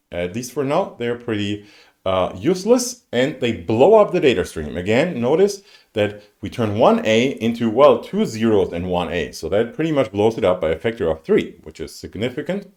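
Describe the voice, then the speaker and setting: A man's voice: medium pitch, darkish tone. A man in his forties, a native German speaker with a German accent, lecturing informally in English and thinking aloud with asides as he explains. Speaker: university lecturer. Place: indoors, live-streamed lecture over slides.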